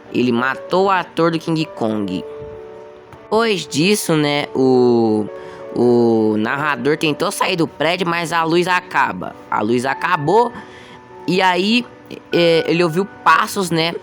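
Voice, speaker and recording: Young male video host, Brazilian accent, higher-pitched, informal conversational storyteller over prominent music. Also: lively intonation, unhurried, a bit bright. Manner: informal, conversational, addresses viewers directly. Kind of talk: casual explainer storytelling.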